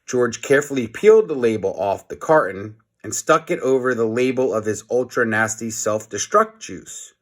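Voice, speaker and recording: A man reading a children's story aloud in an expressive, playful way, with dramatic pauses and asides to the listeners. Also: mid-range voice.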